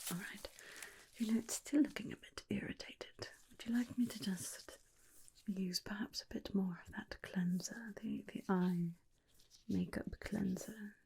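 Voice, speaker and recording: A woman voicing a tender, protective, faintly menacing vampire companion in a soft, soothing ASMR roleplay whisper. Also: medium pitch, vocal fry. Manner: slow, soothing, coaxing with endearments. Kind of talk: ASMR roleplay, personal attention.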